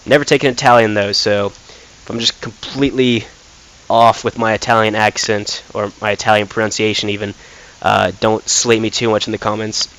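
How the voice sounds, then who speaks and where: A man's voice: fairly low pitch, little pitch movement, normal speed, fairly bright tone, poor audio. A young man casually commenting while playing a football game, thinking aloud with lots of fillers and restarts. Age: young adult. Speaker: gaming commentator.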